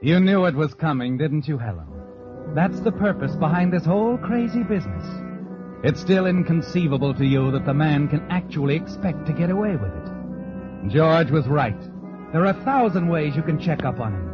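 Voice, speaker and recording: Actor as a taunting American mystery narrator over music. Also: male voice, a little high, animated, moderate pace, lightly acted. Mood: knowing, taunting. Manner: dramatic, insinuating. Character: sinister, taunting all-knowing male storyteller addressing the heroine. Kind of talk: 1940s radio mystery narration in second person. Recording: muffled vintage radio recording. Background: dramatic music.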